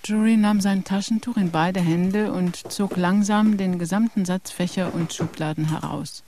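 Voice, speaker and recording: A narrator reading a radio crime story in measured scripted narration. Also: mid-range voice, steady tone, dark tone, a little hiss or hum.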